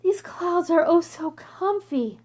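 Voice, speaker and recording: A woman's voice: very high voice, expressive, takes it slowly, a little mellow, heavily acted. A woman voicing a lazy, blunt boy tomato in a storybook, with a drawling, direct manner. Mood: contented, sighing.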